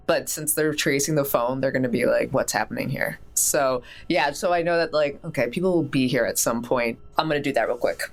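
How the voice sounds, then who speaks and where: A woman with a notably low voice plays a tabletop game. Her talk is casual and halting as she thinks her plan through aloud.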